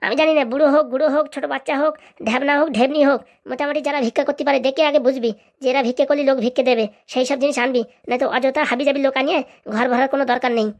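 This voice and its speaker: High-pitched, rapid-fire bossy begging-gang leader by a woman. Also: fairly even intonation, close to an everyday voice. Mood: scolding, sarcastic. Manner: scolding, sarcastic, demanding. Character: bossy, grumbling, crooked ringleader of a begging racket. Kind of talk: comic cartoon dialogue.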